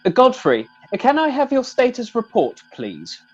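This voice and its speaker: A man's voice: very high voice, huge pitch swings, moderate pace, dull audio, soft background music, exaggerated voice. A man playing a polite, mild-mannered middle-aged sergeant in an amateur comedy radio play, courteous and hesitant.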